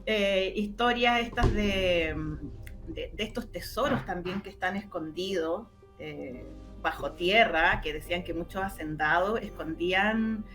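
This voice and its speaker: A woman's voice: fairly low pitch, a little slow, fairly bright tone. Chilean woman telling stories over music. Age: middle-aged. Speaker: interview guest, radio drama maker and journalist. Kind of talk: conversational storytelling in an interview.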